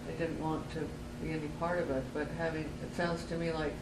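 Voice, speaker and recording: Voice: mid-range voice, little pitch movement, fairly quick. An interviewer asking brief prompting questions in an oral history interview. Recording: echoey room, distant mic, noisy.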